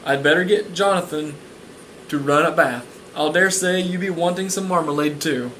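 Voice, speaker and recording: Man reading as a fierce but kindly storybook housekeeper, slow and stern, slightly raised pitch. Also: fairly bright tone, slight background noise, close to an everyday voice, low-quality recording. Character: brisk fierce older housekeeper woman.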